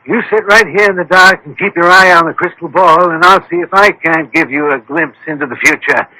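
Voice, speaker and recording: An actor playing a shrewd, commanding doctor-detective in a radio mystery, articulate, authoritative and a little pompous. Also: male voice, on the high side, brisk, bright, forceful tone, no echo, rough recording. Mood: confident, instructive. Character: shrewd, commanding gentleman detective doctor, self-assured and exacting.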